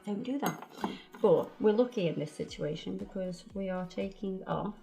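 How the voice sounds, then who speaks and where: A woman's voice: medium pitch, lively intonation, moderate pace, creaky, warm tone, faint music behind. A woman nail technician, chatting casually through a tutorial while recovering from a cold. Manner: chatty, laughs a lot, local slang. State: bunged up from a cold.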